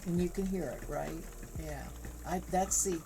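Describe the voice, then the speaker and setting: A woman streaming live while she spins yarn, chatting casually with viewers in a soft, calming voice and laughing easily. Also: fairly low pitch, normal speed, noisy background. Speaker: livestream host spinning yarn. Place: home crafting room with spinning wheel.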